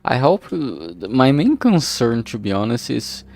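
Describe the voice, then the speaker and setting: A man livestreaming casually while he works, talking in a halting, distracted way that trails off mid-sentence. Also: medium pitch, big pitch swings, gravelly, a little mellow. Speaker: streamer and visual novel creator. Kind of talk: casual solo livestream chat while working. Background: background music.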